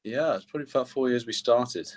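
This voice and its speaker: A man's voice: medium pitch, quick pace. A man speaking as a film composer guest in a casual conversational style. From Britain or Canada. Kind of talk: casual chat.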